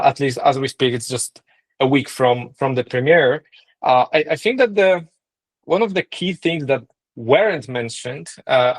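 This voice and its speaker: Polish-accented man explaining business. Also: mid-range voice. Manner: thinking aloud, frequent restarts and "right?" checks. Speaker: co-founder and marketing lead, guest. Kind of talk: podcast interview.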